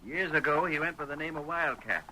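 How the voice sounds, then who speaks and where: Forceful, earnest young western sheriff. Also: male voice, fairly high pitch, fairly quick. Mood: grimly determined. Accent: American. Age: young adult. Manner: folksy, dogged. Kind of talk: 1940s radio western acting. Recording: faintly noisy old radio recording.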